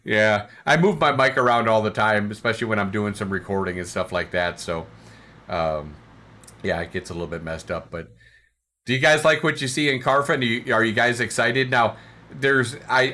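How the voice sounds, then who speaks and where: A man with a bright, forceful voice chats casually on a home game stream, sitting back in a recliner. He reads viewer comments aloud and answers them in a loose, rambling way, often restarting sentences and filling gaps with "uh" and "um." He sometimes drifts away from his desk mic. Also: mid-range voice, moderate pace. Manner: relaxed, rambling, friendly, many fillers and restarts. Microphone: desk mic, sometimes too far from it.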